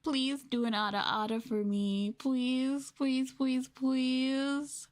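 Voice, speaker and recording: A woman's voice: high-pitched, flat delivery, takes it slowly, voice pushed up. A woman streamer voicing a pathetic fan who begs and pleads in a whiny, mocking way. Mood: mock pleading.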